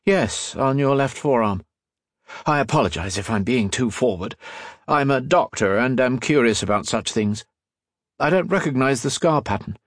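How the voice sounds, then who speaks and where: A man voicing a courteous, inquisitive young gentleman doctor in an audiobook story, with a polite, formal and chatty manner. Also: medium pitch, expressive, moderate pace, dry recording, studio quality, voice pushed up, lightly acted. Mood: politely probing.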